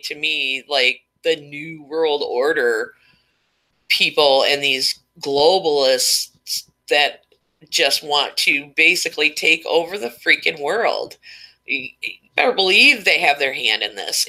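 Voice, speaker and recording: A woman's voice: sits low, pushed and bright, low-quality recording. A woman hosting a casual live stream, chatty and rambling, stuffed up and losing her voice. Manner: rambling, chatty, reading chat names aloud.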